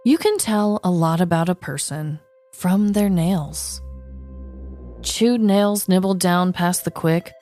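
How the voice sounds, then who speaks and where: A woman's voice: on the low side, takes it slowly, a little mellow, faint music behind. A woman co-hosting a comedy podcast, moving between casual chat and scripted storytelling. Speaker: podcast co-host.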